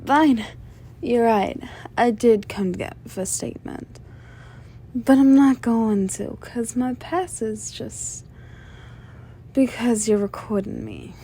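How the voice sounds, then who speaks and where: A woman playing a flirtatious, menacing female mob boss in an ASMR roleplay, sly and self-assured, with a soft, teasing, sultry delivery. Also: on the high side, a little hiss or hum. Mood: mildly exasperated. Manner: teasing, sultry, drawn-out delivery with veiled threats. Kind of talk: scripted ASMR roleplay monologue addressed to listener.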